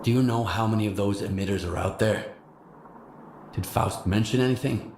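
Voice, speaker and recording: A stoic stalker who speaks in few, low words. The sound is echoey, with clear noise behind the voice. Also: male voice, moderate pace, darkish tone. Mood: curious. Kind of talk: video game dialogue.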